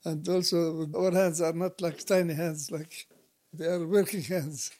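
An elderly man with a Palestinian Arabic accent recalls his life in an interview. His voice is mellow and relaxed, with little edge to it. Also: on the high side, unhurried. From West Bank. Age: 70s. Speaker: painter being interviewed. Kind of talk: reflective interview recollection. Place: recorded at home.

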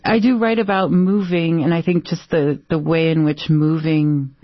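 A Brazilian-born woman poet answering interview questions thoughtfully, with many pauses and fillers. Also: low voice, steady tone, dry recording. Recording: dull, dry lo-fi sound.

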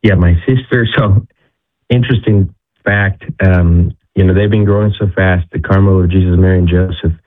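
Low-voiced man on a tinny line. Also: fairly even intonation, normal speed. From Ecuador. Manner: storytelling, many restarts. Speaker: guest. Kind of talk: casual interview talk.

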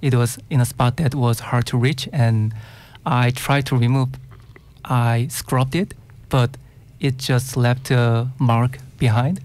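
A man's voice: mid-range voice, fairly even intonation, takes it slowly, a little mellow. A man from South Korea with a Korean accent, a native Korean speaker, answering interview questions as a singer-songwriter, hesitantly searching for words in English.

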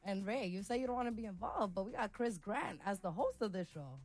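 A woman's voice: mid-range voice, lively intonation, brisk, a little mellow, faint music behind. A woman co-hosting a radio show in casual, teasing, slangy conversation. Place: radio studio.

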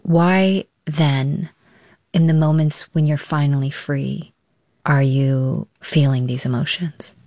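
Low-voiced woman interviewer. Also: steady tone, slow, dark tone, no echo, studio quality. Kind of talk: broadcast interview.

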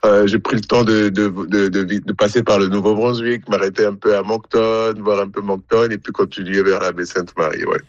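A man's voice: lowish voice, steady tone, moderate pace, few pauses, dry recording, highs cut off. A man from Congo, a musician guest on a radio interview, answering with many hesitations and restarts.

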